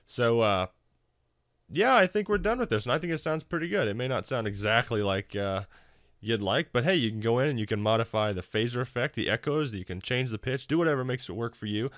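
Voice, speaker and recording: A man hosting a casual software tutorial in an informal, wry, self-deprecating way. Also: mid-range voice, lively intonation, fairly quick, no room sound, clean studio sound. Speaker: tutorial host.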